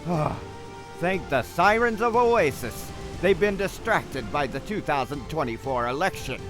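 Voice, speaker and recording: A man's voice: fairly high pitch, lively intonation, unhurried, music in the background. A man playing a blustering, easily alarmed pirate captain with theatrical pirate talk in a comedy skit. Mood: settled.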